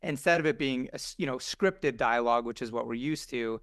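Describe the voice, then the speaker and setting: A man talking casually on a podcast in an effusive, earnest and animated way. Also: medium pitch, a little fast, no room sound, clean studio sound.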